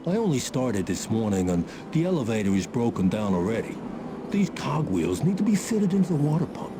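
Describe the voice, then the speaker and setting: An actor playing a hapless new maintenance engineer in a video game, hesitant, apologetic and self-deprecating. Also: male voice, mid-range voice, very animated, a little fast, noisy background, natural voice. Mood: sheepish, apologetic. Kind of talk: video game cutscene acting. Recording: game audio with music behind.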